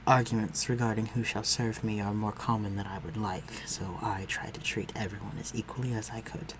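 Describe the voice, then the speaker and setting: Man playing a deep, refined vampire lord. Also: little pitch movement, noisy background, natural voice. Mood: composed, reserved. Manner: formal, measured, archaic phrasing, dropping to breathy murmurs. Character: refined, protective male vampire lord, commanding yet gentle. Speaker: voice actor in audio roleplay. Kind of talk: intimate audio roleplay acting.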